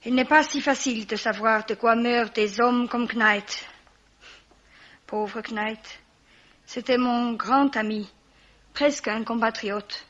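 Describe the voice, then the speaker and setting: Bitter jumpy foreign lady in an old hotel, highish voice, snappy, radio play, kinda dull sound. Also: slow, pauses often, fairly bright tone. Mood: bitter, grieving. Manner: brusque, agitated. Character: nervous, bitter foreign woman living in a hotel. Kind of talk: French radio mystery play acting.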